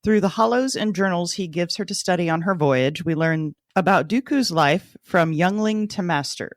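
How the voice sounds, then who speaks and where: A woman with a slightly low voice co-hosts a book discussion podcast. She speaks conversationally, and the sound is close and dry, with very little room in it. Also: moderate pace, dry recording. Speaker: podcast co-host. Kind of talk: casual book club discussion.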